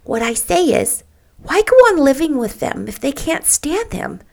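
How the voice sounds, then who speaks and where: A woman's voice: sits high, big pitch swings, a little slow, gravelly, a little mellow, pitched above the performer's own voice, full character voice. A woman voicing a gossipy, worldly young woman in a storybook, confiding and emphatic as she chats.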